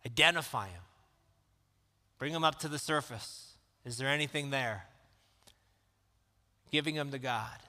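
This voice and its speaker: A man preaching a sermon in a calm, conversational way that rises into emphatic questions. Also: mid-range voice, measured pace, pauses often. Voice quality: calm, soothing voice. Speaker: pastor. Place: church sanctuary. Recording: long reverb of a church hall.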